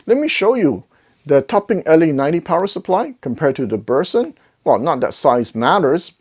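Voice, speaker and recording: Middle aged guy chatting to camera in a gear review, mid pitched voice, kinda jokey, asks himself questions. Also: darkish tone. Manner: conversational, jokey asides and rhetorical questions. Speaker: audio gear reviewer, video host. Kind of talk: casual product review to camera. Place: indoor home listening room. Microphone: clip-on lapel mic.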